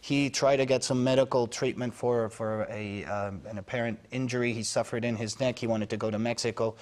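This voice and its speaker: A man speaking as a foreign news correspondent on a news panel, analytical and halting, with many fillers and restarts. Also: mid-range voice, steady tone, darkish tone. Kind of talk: unscripted news panel discussion.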